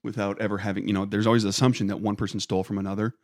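Low-ish voiced guy cohosting a podcast, jokey, laughs a lot, dry close sound. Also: flat delivery, rapid-fire, no echo. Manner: joking, laughs often. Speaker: podcast co-host. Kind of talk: casual chat. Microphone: studio microphone.

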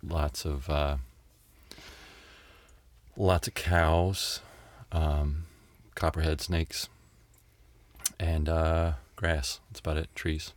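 Middle-aged American man, low register, dry wit, relaxed conversational storytelling as a guest. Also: extremely slow, long pauses, dry recording. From Tennessee and Mississippi, United States. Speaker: interview guest.

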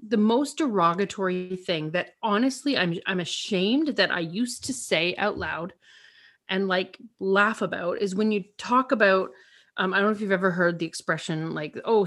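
A woman with a fairly low-pitched voice talks as a podcast guest. She is a worship pastor and speaks in a candid, animated way, picking up speed when she jumps into the conversation. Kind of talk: casual conversation.

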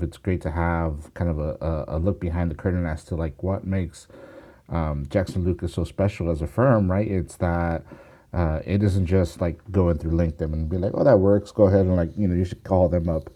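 A man's voice: low voice, dark tone. A man who produces a podcast, talking casually with many restarts and fillers.

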